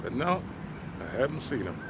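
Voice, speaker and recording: A man's voice: mid-range voice, sing-song, moderate pace, background noise, lightly acted. Man playing a wry small-town ex-miner, American. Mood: weary, wistful. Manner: blunt, folksy, wry. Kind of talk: naturalistic video game acting.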